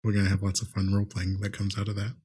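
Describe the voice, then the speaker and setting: A man's voice: on the low side, completely flat delivery, very fast, mellow, husky. A man chatting casually as the game master of a tabletop podcast. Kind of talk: casual chat.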